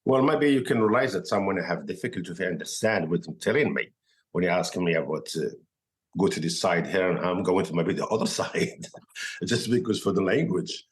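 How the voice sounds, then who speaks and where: A man from Morocco with a Moroccan accent and Arabic as his first language, telling his story as a podcast guest in halting, filler-filled English. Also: low voice. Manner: halting non-native English, many fillers and restarts. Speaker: guest chef. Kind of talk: casual podcast interview.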